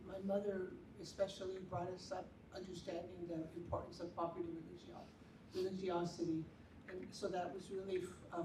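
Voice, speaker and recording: A woman's voice: on the low side, background noise. Reflective woman, echoey far-off hall. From San Francisco, United States. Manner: reflective, modest. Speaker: panelist theologian. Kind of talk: personal storytelling on a panel. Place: conference hall.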